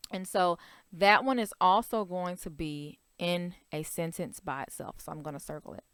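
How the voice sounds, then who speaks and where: Patient teacher woman, smooth low voice. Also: steady tone, moderate pace, a bit bright, dry recording. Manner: patient, step-by-step explaining to young children. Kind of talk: recorded writing lesson over a worksheet.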